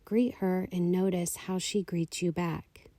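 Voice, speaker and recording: Slow, mellow woman guiding meditation. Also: lowish voice, dry recording. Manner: calm, soothing, unhurried instruction. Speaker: meditation guide. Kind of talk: guided meditation, slow scripted narration.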